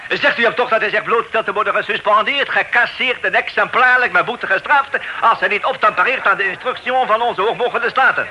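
A self-important deputy sheriff in a radio drama. His voice is rather high and very sharp, with officious, flowery phrasing and French flourishes. Light noise sits on the old recording. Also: brisk, few pauses, rough recording. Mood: exasperated. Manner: officious, ornate speech peppered with French words. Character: pompous, flustered male Amsterdam deputy sheriff. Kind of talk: radio play acting.

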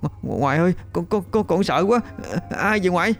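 A man's voice: high voice, lively intonation, a little fast, soft background music, voice pushed up. A man voicing a terrified little boy who stammers breathlessly.

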